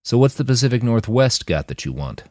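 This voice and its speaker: A man's voice: mid-range voice, big pitch swings, fairly quick, a little mellow, no room sound, studio quality, pitched above the performer's own voice. A man voicing a laid-back, wry cyborg soldier of few words in an audiobook. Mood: easygoing.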